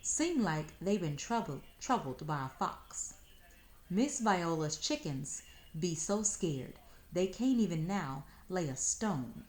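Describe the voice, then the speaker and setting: A woman's voice: on the low side, big pitch swings, takes it slowly, a little mellow, rough recording. Woman as a folksy, firm grandma. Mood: grumbling. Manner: folksy, firm, rural dialect. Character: no-nonsense old Southern country grandmother. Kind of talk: storybook read-aloud.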